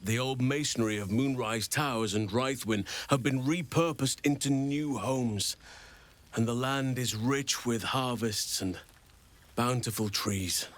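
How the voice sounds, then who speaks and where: An actor playing a gentle, wise old druid man in a fantasy video game, speaking in formal, measured, earnest sentences. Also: mid-range voice, close to an everyday voice. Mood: earnest, proud. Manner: formal, measured, eloquent speech.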